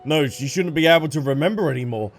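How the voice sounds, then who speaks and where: A man's voice: fairly high pitch, quick pace. A man giving casual reaction commentary on a game, musing aloud and answering the characters. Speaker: gaming streamer. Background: game music.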